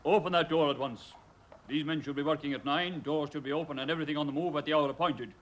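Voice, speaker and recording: A man's voice: fairly high pitch, steady tone, quick pace, slight background noise, natural voice. A man, playing a stern, nagging boss in a vintage radio drama. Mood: scolding. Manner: scolding, clipped and authoritative.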